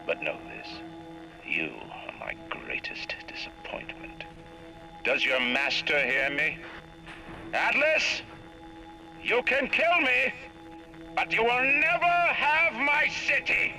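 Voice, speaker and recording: A man's voice: very high-pitched, very animated, vocal fry, pushed and bright, soft background music, full character voice, poor audio. A man playing a grandiose, embittered city tyrant in a video game, speaking like a theatrical orator. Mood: bitter, resigned. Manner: oratorical, theatrical, deliberate phrasing.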